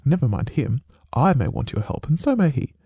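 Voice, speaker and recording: A man voicing a brisk, dryly confident detective. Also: medium pitch, sing-song, breathy edge, no room sound, lo-fi sound, pitched above the performer's own voice. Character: keen, brisk male detective, dry wit. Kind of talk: audiobook character voice.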